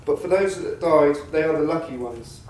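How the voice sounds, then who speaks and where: A man reading a victim statement in a measured, defiant, bitterly sarcastic manner. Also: on the high side, a little mellow. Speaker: attack survivor giving a victim impact statement. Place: courtroom. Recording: very echoey courtroom from a distance, faint noise.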